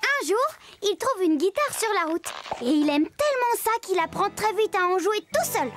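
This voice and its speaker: Little girl cartoon storyteller: extremely high, excitable, cheeky, talking straight to viewers, prominent music bed. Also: normal speed. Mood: storytelling. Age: child character. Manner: playful, chatty, addresses the viewer directly. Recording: loud children's music under voice.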